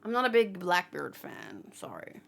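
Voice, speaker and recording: A woman's voice: fairly low pitch, very animated, normal speed, creaky, fairly bright tone. A woman giving casual, informal commentary as a host, thinking aloud and often questioning herself. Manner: informal, rambling, self-questioning. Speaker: host of a manga read-along channel.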